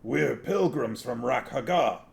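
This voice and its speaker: A man's voice: medium pitch, animated, a little slow, gravelly, pitched above the performer's own voice. A man voicing an old sorcerer who poses as a humble pilgrim, speaking formally and deferentially with guttural sounds in a storybook reading.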